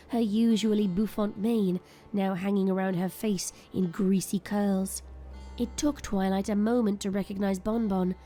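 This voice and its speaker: A woman's voice: mid-range voice, dark tone. A woman narrator reading a scripted, dramatic story.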